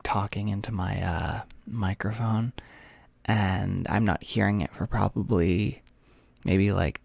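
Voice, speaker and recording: An American man hosting a casual livestream, talking softly and hesitantly into a close microphone, a little short on sleep. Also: fairly low pitch, vocal fry, no room sound. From United States. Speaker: livestream host.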